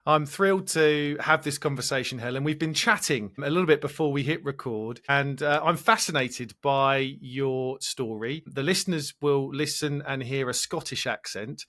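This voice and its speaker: A man's voice: mid-range voice, normal speed, few pauses, pushed and bright. A man hosting a podcast interview, enthusiastic and asking long, rambling questions. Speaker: podcast host.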